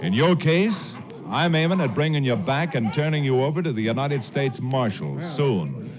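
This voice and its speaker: An actor playing a resolute, confident western hero who also narrates, in a 1940s radio drama style. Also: male voice, medium pitch, big pitch swings, moderate pace, close to an everyday voice. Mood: firm challenge. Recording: muffled 1940s radio recording, crowd-like background.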